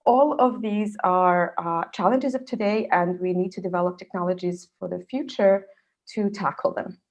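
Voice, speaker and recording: A woman's voice: medium pitch, normal speed, darkish tone, highs cut off. A woman from Serbia, a research fellow in her thirties, giving an online scientific presentation with frequent fillers.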